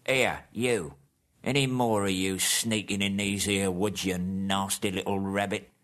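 A man voicing a mean, suspicious male troll who talks in a rough, threatening dialect. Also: deep voice, steady tone, unhurried, fairly bright tone. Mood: menacing.

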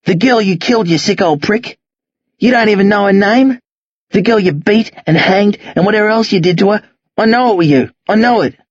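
Casting: man to play a confrontational Australian teen boy for a novel reading, husky, slangy, hot-tempered, closely recorded. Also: high-pitched, lively intonation, normal speed, no room sound, muffled recording. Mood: furious, accusing. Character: angry accusing teenage boy, rough, foul-mouthed, defiant.

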